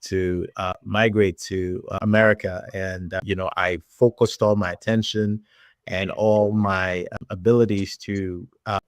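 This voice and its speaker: Nigerian man in his forties, podcast guest; low voice, dry close sound, measured storytelling. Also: flat delivery, no room sound. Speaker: podcast guest, tech executive. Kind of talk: casual interview talk.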